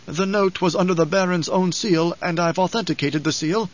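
A man voicing a loyal old advisor in an audiobook, grave and deferential, with a measured, formal, hesitant delivery. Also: high voice, highs cut off, pitched above the performer's own voice, lightly acted. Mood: grave, careful. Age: older.

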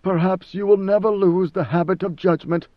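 A man voicing a commanding ancient god emperor who speaks in a grave, measured, lecturing way. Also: high-pitched, steady tone, dry recording, highs cut off, pitched above the performer's own voice, close to an everyday voice.

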